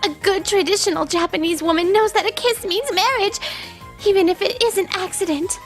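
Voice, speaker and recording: A woman acting a high-voiced, proper young teacher. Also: a little fast. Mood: flustered. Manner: formal, proper. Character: strict, composed young female teacher, flustered underneath. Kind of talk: manga story voice acting. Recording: music bed under the voice. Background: music.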